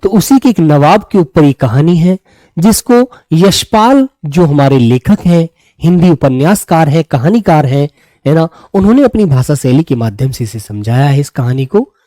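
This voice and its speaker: High-pitched male teacher, mellow dry sound. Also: lively intonation, no echo. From Uttar Pradesh, India. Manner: repeats key phrases slowly as if dictating; affectionate check-ins with students as "beta". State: sore throat. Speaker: Hindi teacher of an online exam-prep class. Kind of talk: live online lesson, addressing students.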